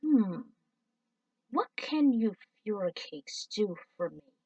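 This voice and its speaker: Woman doing a sneering male alien villain lord, haughty, loud, mid-pitched, heard from a distance. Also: sing-song, slow pace, dry recording, dull audio, heavily acted. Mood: scornful.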